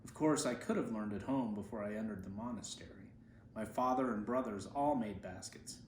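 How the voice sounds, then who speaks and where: A man reading the words of a chatty, humble monk in his seventies, plain-spoken and gently amused, for a story. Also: on the low side, a little fast, close to an everyday voice. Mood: reminiscing. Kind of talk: storybook reading of quoted speech. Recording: roomy echo, faint background noise.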